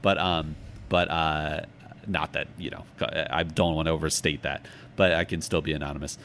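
A man's voice: a bass voice, little pitch movement, moderate pace, a bit bright, slight background noise. A middle-aged man giving reflective, conversational answers as an interview guest, thoughtful and self-deprecating. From United States, Chicago.

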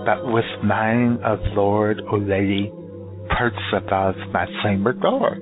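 A man's voice: on the low side, slow. A man playing a solemn, haunted narrator in a portentous radio recital of a gothic poem. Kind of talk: dramatic poetry recital. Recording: thin phone-like band, music behind. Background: music.

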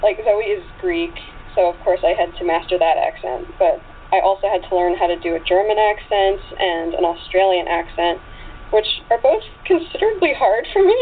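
Female interview guest, mid-pitched, informal and effusive, narrow phone-quality audio with slight noise. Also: animated, moderate pace. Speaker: guest, actress and audiobook voice performer. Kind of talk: casual chat. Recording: tinny phone-line sound with faint noise.

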